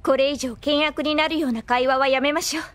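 A woman's voice: sits high, fairly even intonation, a little fast, no echo, natural voice. A woman playing a commanding, genteel young noblewoman with formal, insistent speech in a Japanese anime audio drama.

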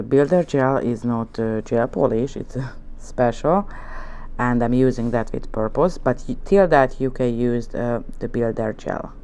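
A woman with a Hungarian accent, a native Hungarian speaker, giving a relaxed nail art tutorial at her studio desk, talking in gentle, coaxing instructions and often checking in with "okay?". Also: extremely low pitch. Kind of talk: relaxed tutorial narration while working. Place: indoor nail studio desk, hands on camera from above.

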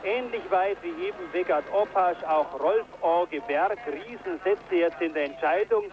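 High-voiced man commentating ski jumping. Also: measured pace, background noise. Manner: excited, rises sharply on big jumps. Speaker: sports commentator. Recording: old broadcast tape.

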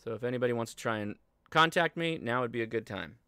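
An American man in his thirties talking through a casual livestream tutorial, conversational and halting as he thinks aloud. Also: medium pitch, animated, pushed and bright, smooth, no echo, clean studio sound. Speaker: livestream host and ham radio instructor. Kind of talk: casual live tutorial with chat replies. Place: indoor home studio desk, livestream.

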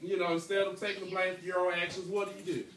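A man's voice: sits high, flat delivery, very fast, fairly bright tone. Male teacher in an echoey, distant classroom. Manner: checking in with frequent "okay" and "right?". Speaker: elementary school teacher reading aloud to class. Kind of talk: storybook read-aloud with questions to pupils. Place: school classroom, indoors. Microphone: handheld phone among the pupils, several metres away. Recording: echoey, noisy classroom, recorded from far off.

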